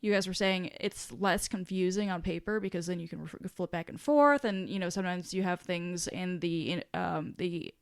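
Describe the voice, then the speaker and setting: A woman's voice: on the low side, steady tone, moderate pace, gravelly, hardly any pauses, clean tone, no room sound, clean studio sound. A woman co-hosting a podcast in casual discussion, talking in a rambling, self-deprecating way. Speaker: podcast co-host.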